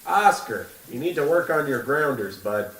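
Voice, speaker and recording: A man's voice: mid-range voice, big pitch swings, normal speed, fairly bright tone. Stern baseball coach, echoey and distant man acting. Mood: stern, scolding. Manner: stern, scolding. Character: gruff, bullying small-town baseball coach. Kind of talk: comedic radio play acting. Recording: distant, echoey room with faint noise.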